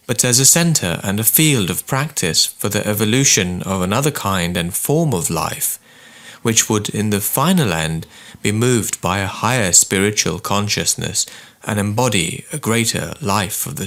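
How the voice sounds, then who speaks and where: A man narrates a prepared text in a fairly low voice with plenty of gravelly creak. His delivery is formal and measured, with deliberate phrasing, like an audiobook of spiritual writings. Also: fairly bright tone. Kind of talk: scripted narration, audiobook-style reading of spiritual texts.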